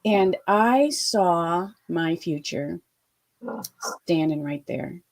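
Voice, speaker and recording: A woman in her fifties from Ohio, a guest telling her life story in an interview, animated and full of quoted asides. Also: on the low side, drawn-out words. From Ohio, USA.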